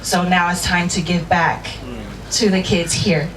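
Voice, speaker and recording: Flat-voiced woman far off in an echoey room. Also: fairly low pitch, unhurried. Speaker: awards organizer. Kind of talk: speaking at a panel. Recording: distant echoey room with clear noise.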